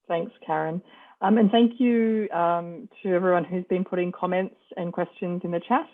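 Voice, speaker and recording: A woman moderating an online panel discussion, with a hesitant, halting delivery. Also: on the low side, steady tone. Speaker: forum moderator. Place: online video call. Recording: muffled, band-limited video call audio.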